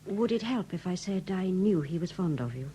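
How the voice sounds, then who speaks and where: Actress as a mellow, lively detective's wife. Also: female voice, lowish voice, moderate pace, lightly acted. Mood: polite concern. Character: poised, gently teasing wife of English detective. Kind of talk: 1970s radio drama acting. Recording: hissy 1970s radio broadcast recording.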